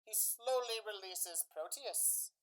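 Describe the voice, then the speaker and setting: A woman giving scripted dramatic narration as the storyteller of a radio play, in measured phrases. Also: medium pitch, low-quality recording.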